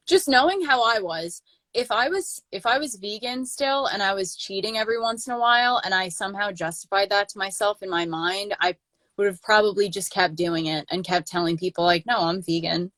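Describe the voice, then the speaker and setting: A woman's voice: mid-range voice, moderate pace, fairly bright tone, no room sound. A woman in her late twenties hosting a casual livestream chat, talking loosely with many fillers and restarts. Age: 20s. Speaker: channel host.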